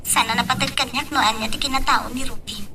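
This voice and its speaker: Very high-voiced lovesick young daughter. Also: female voice, steady tone, fast talker, sharp tone. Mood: sad, longing. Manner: pleading, emotional. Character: lovesick young daughter pleading to keep her sweetheart. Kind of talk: radio drama acting. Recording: distant from mic, music underneath.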